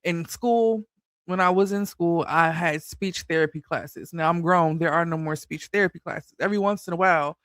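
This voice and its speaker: A man's voice: a little high, moderate pace, fairly bright tone, dry recording, studio quality. A man hosting a casual commentary channel, talking loosely with a slight lisp and a bit of a stutter. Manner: stutters a little, loose and chatty.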